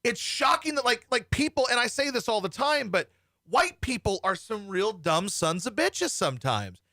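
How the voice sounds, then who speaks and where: A man's voice: high voice, very animated, moderate pace, bright, forceful tone, no echo. A man hosting a talk show, riffing bluntly and profanely in rambling, opinionated banter on a studio microphone.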